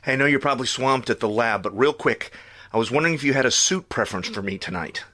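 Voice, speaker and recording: A romantic, doting charmer around fifty in an audio drama. His voice is bright and very animated, swinging expressively as he teases affectionately. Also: mid-range voice, fast. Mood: playful. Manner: playful, affectionate. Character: charming, doting fifty-year-old man, romantic and playful.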